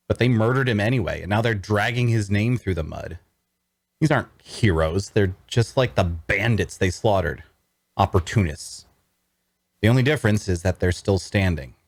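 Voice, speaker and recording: A man's voice: fairly low pitch, no echo, studio quality. A man playing a bitter, disillusioned onlooker who narrates his story with sardonic scorn. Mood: disgusted. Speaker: actor at a table read. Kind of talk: first-person dramatic narration.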